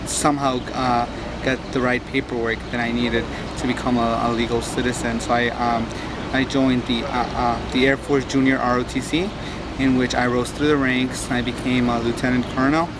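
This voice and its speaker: Hesitant young man, flat voice, noisy street. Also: mid-range voice, moderate pace. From Mexico. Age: young adult. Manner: hesitant, many fillers and restarts. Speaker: interviewee, student. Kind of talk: personal testimony. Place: outdoors at a rally. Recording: loud outdoor background noise.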